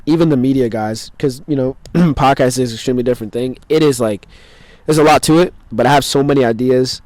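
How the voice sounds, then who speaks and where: A man's voice: mid-range voice, brisk, darkish tone, no room sound. Joking podcast host man, dry mic. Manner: joking and teasing, laughs between lines, trails off a lot. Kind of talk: casual chat.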